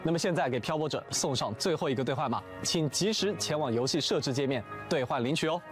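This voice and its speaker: A man's voice: fairly high pitch, expressive, fast, faint music behind. A man presenting a scripted promotional broadcast in a polished, upbeat way. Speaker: announcer.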